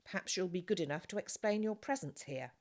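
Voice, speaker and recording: A woman's voice: lowish voice, lively intonation, a little fast, voice pushed up, close to an everyday voice. A woman voicing a jovial, blustering and talkative male farmer in an audiobook of a children's novel, teasing and emphatic. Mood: wry, teasing. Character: jovial, blustering, talkative German farmer, gruff but kindly.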